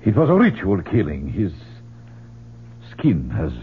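Through a narrow, humming old radio recording comes a courteous, cautious Latin American expedition guide. His voice is fairly low and swings very widely in pitch. His phrasing is formal and polite, in the manner of old radio drama. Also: wildly swooping pitch, unhurried, slight background noise, exaggerated voice. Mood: uneasy, apologetic.

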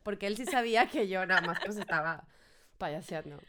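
A woman from Mexico, co-hosting a casual podcast chat and telling anecdotes in a lively way. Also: mid-range voice, moderate pace, a crowd in the background. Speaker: co-host.

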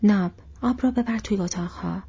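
A woman's voice: medium pitch, vocal fry, warm tone, husky. A woman voicing a flustered, busy, middle-aged male innkeeper who talks in a whispery, rambling, apologetic way. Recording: dull lo-fi recording.

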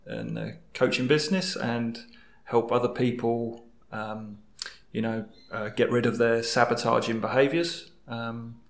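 A man's voice: medium pitch, flat delivery, measured pace, vocal fry, echoey room. A man from England with an English accent, a guest coach chatting hesitantly on a podcast with many fillers and restarts. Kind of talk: casual podcast chat.

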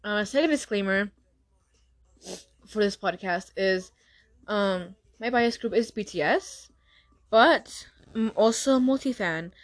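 Fast-talking young woman who stutters. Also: medium pitch. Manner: stutters and restarts a lot, talks fast. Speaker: podcast host. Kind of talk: casual solo podcast chat.

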